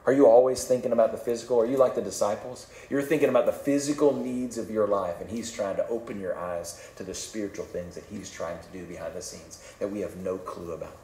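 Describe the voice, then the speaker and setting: A man preaches from the pulpit in a calm, easygoing voice that sits a little low. He talks conversationally, self-deprecating, often doubling back on his words. The sound carries the echo of a church room. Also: fairly quick, hardly any pauses, slight background noise. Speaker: pastor. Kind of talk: sermon. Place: church sanctuary.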